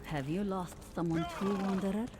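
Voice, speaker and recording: A woman's voice: medium pitch, steady tone, moderate pace, very noisy, close to an everyday voice. A woman voicing a sympathetic female stranger who addresses travellers in a video game. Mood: sombre, sympathetic. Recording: loud game ambience over voice. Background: loud game ambience.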